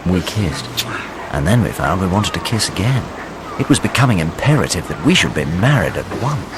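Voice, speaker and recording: A man's voice: low-pitched, a little fast, background noise. A wry young Englishman narrating. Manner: wry, animated storytelling. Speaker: narrator and lead. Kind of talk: radio drama acting.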